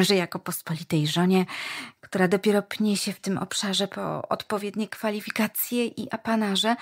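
A woman playing an eager, wistful young wife in a dystopian radio play, earnest and dreamy, with a slightly husky voice. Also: mid-range voice.

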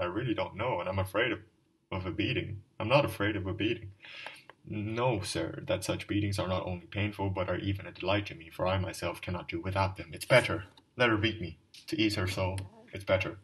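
A man's voice: sits low, steady tone, a little fast, fairly bright tone, distant mic, pitched below the performer's own voice, rough recording. A man reading as a drunken, pleading old soul. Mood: anxious. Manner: fervent, rambling confession. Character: drunken ragged middle-aged man, pleading and self-pitying. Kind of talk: audiobook dialogue reading.

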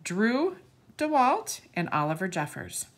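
Expressive woman reading to children, very lively pitch. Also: unhurried. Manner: expressive, addresses children directly. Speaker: children's story reader. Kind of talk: read-aloud storytelling for young children.

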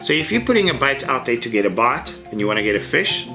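A man's voice: mid-range voice, big pitch swings, fast, pushed and bright, soft background music. A middle-aged man, teaching a fishing rig step by step in a practical, matter-of-fact way from an indoor tackle room. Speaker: fishing instructor. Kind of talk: hands-on tutorial.

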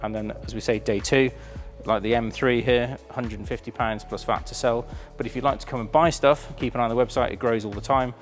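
A man's voice: medium pitch, steady tone, brisk, few pauses, soft background music. A man, chatty and enthusiastic, presenting a car auction preview in a large warehouse showroom. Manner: enthusiastic, chatty, filled with ums.

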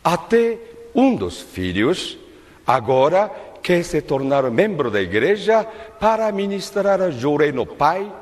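An older man preaching a sermon at a lectern in a large worship hall, in a slow, measured, emphatic delivery. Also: a little high, very animated. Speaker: minister giving a testimony-based sermon. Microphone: lectern microphone. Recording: long reverb of a large hall.

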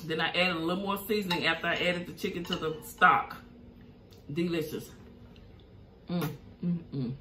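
A middle-aged woman with a Southern US accent chats and hums between bites at her table. Her voice is bright and forward. The room around her has a noticeable echo, with faint background noise. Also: on the low side, fairly even intonation, normal speed, long pauses. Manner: chatty, savoring food with hums between bites. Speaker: mukbang host. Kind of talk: casual eating show chat, reading comments.

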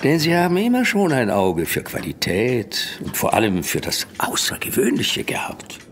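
An actor playing a wily, flattering antiques dealer in an audio drama, with effusive, persuasive salesman's patter. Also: mid-range voice, moderate pace, a little hiss or hum. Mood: flattering, coaxing. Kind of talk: German horror audio drama acting.

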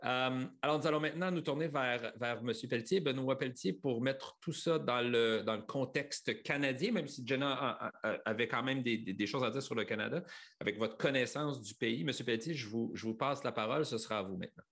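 A man in his forties moderates a panel in both official languages, speaking conversationally and stumbling now and then. His voice sits at a medium pitch. He talks through a headset from a home study. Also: fast, fairly bright tone. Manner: conversational, with hesitations. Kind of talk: bilingual moderation.

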